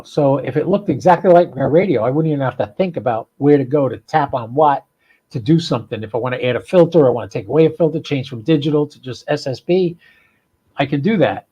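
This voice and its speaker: A man's voice: medium pitch, dark tone, husky, poor audio. An American man hosting a casual, unscripted livestream, talking in a folksy, rambling, informal way. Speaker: podcast and livestream host.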